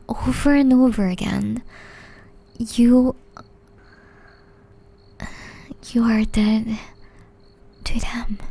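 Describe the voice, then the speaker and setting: A woman acts out a gentle, ageless witch exiled to the forest, caring and wistful. Her voice sits fairly high yet stays soft, dark-toned and breathy, often slipping into a whisper. She takes her time, pleading tenderly in an intimate ASMR roleplay style. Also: low-quality recording. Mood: concerned, pleading. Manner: slow, tender, earnest pleading.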